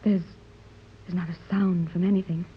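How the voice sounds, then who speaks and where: A woman's voice: on the low side, little pitch movement, moderate pace, close to an everyday voice. An actress playing an anxious but determined well-to-do mother in a 1930s radio serial, urgent and questioning in a theatrical way. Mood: apprehensive. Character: anxious, determined wealthy mother searching for her daughter. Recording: muffled, noisy 1930s radio recording.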